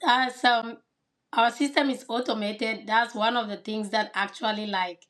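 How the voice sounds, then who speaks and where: A woman's voice: fairly high pitch, fairly even intonation, unhurried, a bit bright. A woman from Cameroon, a kindergarten teacher, answering interview questions in an earnest, explanatory way.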